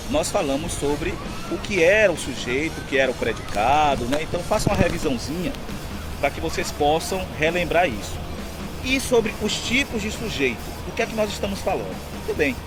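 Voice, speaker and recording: Man, teacher, slightly high and very animated pitch, music playing behind him at a beach. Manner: asks and answers his own questions. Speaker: Portuguese teacher. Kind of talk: casual outdoor grammar lesson. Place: outdoors at a beach.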